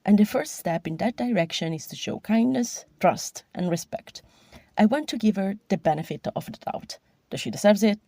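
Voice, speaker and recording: A woman's voice: mid-range voice, animated, normal speed, mellow, dry recording. A woman recording a personal voice message to give her opinion as a podcast listener.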